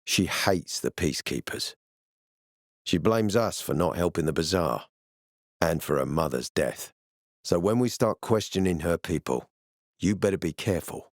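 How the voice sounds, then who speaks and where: An actor playing a tough, suspicious peacekeeper commander in a video game, terse, sardonic and threatening. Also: male voice, low-pitched, animated, moderate pace, dry recording, studio quality. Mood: guarded warning. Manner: terse, menacing, sardonic, profane. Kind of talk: video game character dialogue.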